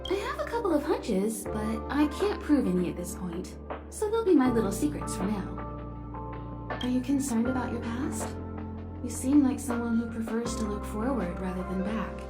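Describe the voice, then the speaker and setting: A knowing, elegant woman navigator from a sci-fi game. Her fairly high voice is composed and reassuring, in an echoing space with music underneath. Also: big pitch swings, normal speed. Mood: gently teasing. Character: poised, knowing female space-train navigator, gently teasing adult. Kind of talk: video game character dialogue.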